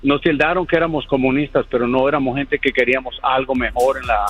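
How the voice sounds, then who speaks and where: A man's voice: mid-range voice, fairly even intonation, a bit bright, husky, dry recording, soft background music. A man in his sixties from Guatemala with a Guatemalan Spanish accent, calling a radio show and speaking in an earnest, reflective way. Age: 60s. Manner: earnest, reflective, measured storytelling. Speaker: caller. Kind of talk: phone-in radio call.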